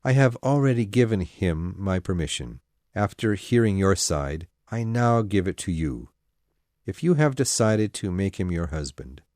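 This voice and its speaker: A woman voicing a grave but affectionate older father, with a measured, formal way of speaking. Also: rumbling low, lively intonation, warm tone, no room sound, studio quality, pitched below the performer's own voice. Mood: tender, resigned.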